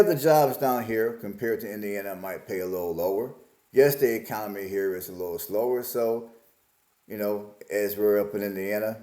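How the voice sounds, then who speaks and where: Reflective man in his forties, lowish voice. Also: dark tone, reverberant. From Alabama, US. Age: 40s. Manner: musing, repetitive, plain-spoken. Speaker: vlogger. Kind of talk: casual reflective monologue.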